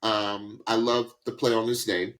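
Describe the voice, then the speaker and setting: A man's voice: fairly low pitch, flat delivery, measured pace, fairly bright tone, poor audio. A man hosting a podcast, giving his opinions in casual, emphatic talk and repeating words for emphasis. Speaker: podcast host.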